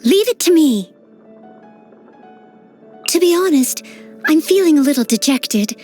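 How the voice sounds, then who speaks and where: A dutiful teenage maid knight from a video game. Her voice is high and girlish, with lively, swooping intonation. She speaks with polite, formal eagerness to please, over background music. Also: a little slow. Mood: proud, caring. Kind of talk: video game character voice lines.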